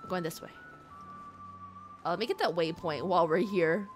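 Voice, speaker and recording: A woman's voice: on the low side, normal speed, faint music behind. A woman game streamer giving casual, reactive live commentary, informal and repeating phrases for emphasis.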